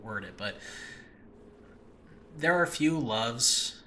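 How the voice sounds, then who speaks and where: Male, casual solo podcaster. Bright, punchy tone, earnest and self-deprecating, loose unscripted delivery, slightly noisy home recording. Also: mid-range voice, lively intonation, very slow. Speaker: host and audio drama creator. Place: makeshift room in a new home.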